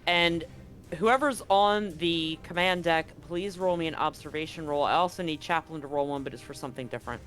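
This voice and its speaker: Guy running a tabletop game, kinda high voice, stops and restarts a lot, music in the back. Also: fairly bright tone. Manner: halting, restarts mid-sentence while describing scenes. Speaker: game master.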